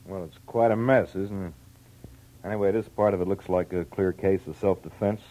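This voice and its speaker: A man playing a brisk, wary police lieutenant in 1940s radio drama, brusque and businesslike. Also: low voice, steady tone, dark tone, highs cut off, close to an everyday voice. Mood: businesslike, sceptical.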